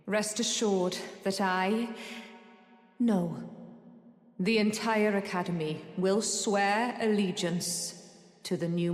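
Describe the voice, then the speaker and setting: Slow, stately sorceress teacher in echoing hall. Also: female voice, fairly low pitch, darkish tone. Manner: measured, formal, archaic diction. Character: scholarly sorceress teacher, proud, ambitious, fond of her apprentice. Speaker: video game character voice actor. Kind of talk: scripted game dialogue. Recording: echoey hall-like reverb.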